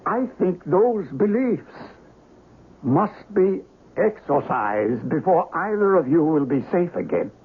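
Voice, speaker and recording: A man's voice: high-pitched, very animated, slow pace, breathy edge, exaggerated voice. A man playing a grave doctor of the occult in a radio horror drama, speaking theatrically and solemnly. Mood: grave, ominous. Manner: grave, theatrical, archaic incantations. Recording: noisy, tinny old radio broadcast.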